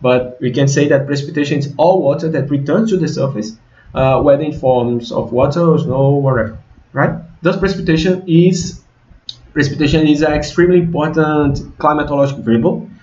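A young man from Brazil with a Brazilian accent, a native Portuguese speaker, gives an informal slide lecture in English, haltingly, repeating phrases and checking in with okay and all right. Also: fairly high pitch, a little mellow. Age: young adult. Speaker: student researcher giving a tutorial. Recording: dull lo-fi, roomy echo.

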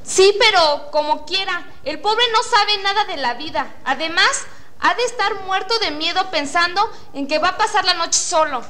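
Woman acting very high-pitched, frantic mother. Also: expressive, normal speed, sharp tone, exaggerated voice. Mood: indignant. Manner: agitated, pleading, theatrical. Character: anxious, indignant, overprotective mother of a teenage boy. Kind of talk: student radio drama acting. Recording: faint noise, amateur classroom radio recording.

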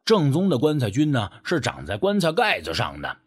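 A man's voice: mid-range voice, wildly swooping pitch, moderate pace, slightly rough. A man playing a sly, sheepish rural man in an audiobook, stammering and wheedling as he angles for money. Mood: wheedling, insistent. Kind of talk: acted audiobook dialogue.

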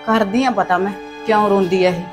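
A woman's voice: medium pitch, fairly even intonation, brisk, music in the background, close to an everyday voice. A woman playing a harsh, taunting mother-in-law who scolds with sarcasm and folk sayings. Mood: muttering. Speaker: actor in a family skit. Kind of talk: domestic comedy-drama skit.

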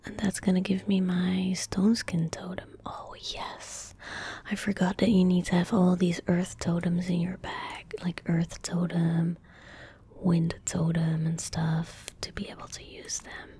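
Whispering young woman, slow ASMR. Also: lowish voice, level tone. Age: young adult. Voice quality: whispery, breathy, unvoiced. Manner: gentle, unhurried, murmured asides to self. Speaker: ASMR gaming streamer. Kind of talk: soft-spoken ASMR game commentary. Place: indoor room at a computer. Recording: faint background noise, game ambience. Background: soft game ambience, faint noise.

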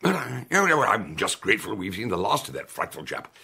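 An actor playing a jovial older English country gentleman in a radio play. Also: on the low side, big pitch swings, normal speed, creaky, slightly rough, no echo, full character voice. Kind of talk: radio drama acting.

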